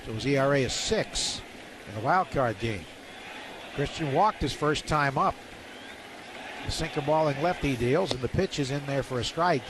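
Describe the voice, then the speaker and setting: A man's voice: medium pitch, lively intonation, moderate pace. A man doing live radio play-by-play commentary of a baseball game from a stadium booth. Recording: noisy outdoor stadium ambience.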